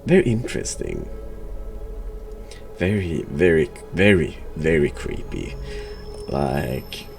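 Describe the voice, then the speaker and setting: Very deep, creaky man, slow and halting. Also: wildly swooping pitch, a little mellow, faint music behind. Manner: halting, hesitant, word-repeating. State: has a cold. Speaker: streamer and horror game reviewer. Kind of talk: casual game commentary.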